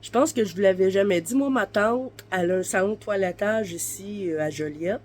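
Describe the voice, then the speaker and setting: A woman's voice: mid-range voice, brisk, darkish tone, a little hiss or hum. A woman in her thirties with a Québécois accent, chatting casually in a home vlog from her bed, her voice stuffy and congested from the flu, rambling with lots of fillers. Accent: Québécois French. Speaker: vlogger. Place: her bedroom, lying in bed.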